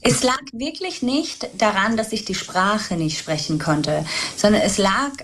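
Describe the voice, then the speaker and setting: A woman's voice: mid-range voice, lively intonation, unhurried, a little hiss or hum, rough recording. A woman from Spain, a trauma and mindset coach, telling her story as a guest in an earnest, reflective way. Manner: earnest, reflective, speaks in long flowing stretches. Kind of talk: podcast interview, personal storytelling.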